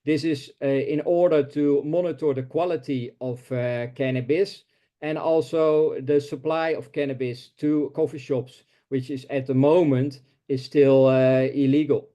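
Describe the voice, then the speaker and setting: A man whose first language is Dutch, presenting market research in a webinar, halting with many filler sounds. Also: medium pitch, steady tone, dark tone. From Netherlands.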